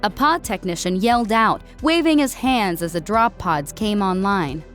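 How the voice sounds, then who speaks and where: A woman's voice: mid-range voice, expressive, normal speed, fairly bright tone. Woman narrating a story over music. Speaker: audiobook narrator. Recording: music bed under voice. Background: background music.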